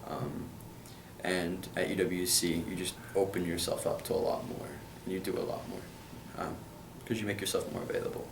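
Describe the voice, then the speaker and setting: A man's voice: low-pitched, little pitch movement, moderate pace, vocal fry, roomy sound, recorded from a distance, noisy background. A young man from Kentucky answering interview questions about his school in an earnest way, with plenty of hesitant fillers. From Kentucky, USA.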